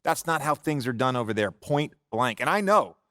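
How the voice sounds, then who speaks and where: An actor playing an opinionated basketball pundit giving sports debate commentary in a video game. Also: male voice, medium pitch, animated, moderate pace, pushed and bright, no room sound, clean studio sound. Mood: protesting.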